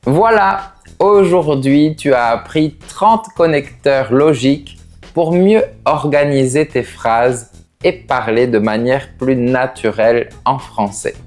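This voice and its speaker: A man teaching French, explaining each point slowly and clearly with pronounced emphasis on key words. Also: medium pitch, soft background music. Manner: carefully enunciated, explanatory, with lively rising emphasis on key words. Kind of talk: slow, clearly articulated teaching.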